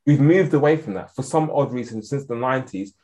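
A man with an English accent talks through his points as an interview guest. He is earnest and often restarts his sentences while he thinks aloud. His voice sits at a middling pitch. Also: expressive, brisk, mellow. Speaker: guest expert on African security policy. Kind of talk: conversational interview answer.

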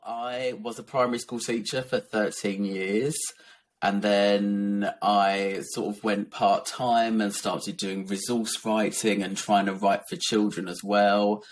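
Soft-voiced, low, tired-sounding man. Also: slight background noise. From Essex, England. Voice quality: soft, gentle voice. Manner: candid, reflective, many ums and soft laughs. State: croaky and tired. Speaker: guest, children's author. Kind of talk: casual interview talk.

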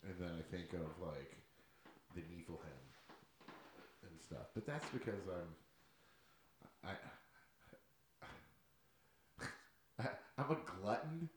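A man's voice: low voice, very animated, slow pace, long pauses, reverberant. A man co-hosting a podcast in casual chat. He is laid-back, swears a lot and laughs often. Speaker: podcast co-host.

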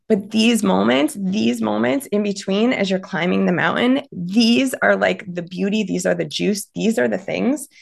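A woman in her thirties who hosts a podcast, talking in an earnest, upbeat motivational monologue while hoarse and raspy from being sick. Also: lowish voice. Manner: earnest, upbeat, lots of fillers. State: hoarse from illness. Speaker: podcast host.

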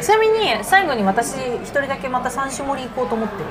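A woman chatting casually and playfully over food as a podcast co-host, animated and full of exclamations. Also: sits high, a little fast. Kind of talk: casual chat while eating. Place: indoors in an oyster restaurant. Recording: busy restaurant with chatter. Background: crowd chatter of a restaurant.

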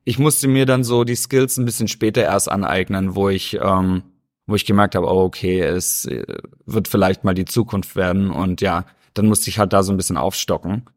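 Swabian guest, low-ish voice, rambling interview chat. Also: male voice, steady tone, normal speed. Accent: Swabian German. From Stuttgart, Germany. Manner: easygoing, rambling, many hesitations. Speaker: interview guest, dancer and choreographer.

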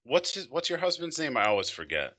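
A man's voice: fairly high pitch, big pitch swings, fairly bright tone. A man in his thirties hosting a company podcast, talking in a friendly, chatty way. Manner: friendly and chatty, with quick laughs. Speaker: podcast host. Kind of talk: casual interview chat.

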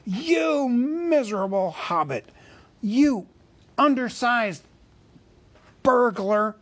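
A man voicing a proud, wrathful male dwarf king who snaps and shouts, in a storybook reading. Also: mid-range voice, takes it slowly, close to an everyday voice. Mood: raging. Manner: shouting, bitter, commanding.

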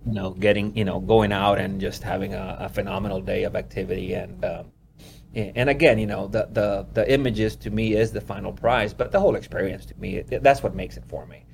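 A man's voice: on the low side, steady tone, brisk, vocal fry, darkish tone, slight background noise. A man from Honduras who works as a wildlife photographer, telling stories in a casual interview. He rambles, leans on "you know" and laughs often.

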